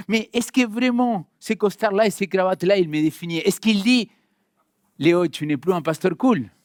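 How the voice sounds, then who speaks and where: A man's voice: high-pitched, dry recording, clean studio sound. A man from Argentina with a light Argentine accent, preaching a sermon and talking directly to his congregation in a friendly, conversational way. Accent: Argentine Spanish. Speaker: pastor. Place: church hall.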